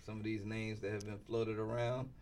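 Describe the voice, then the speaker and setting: Low-voiced middle-aged New man, halting delivery. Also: little pitch movement, a little mellow, a little hiss or hum. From New York City, United States. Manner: halting, pausing often mid-thought. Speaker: interview guest, basketball team insider and former coach. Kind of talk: conversational sports interview.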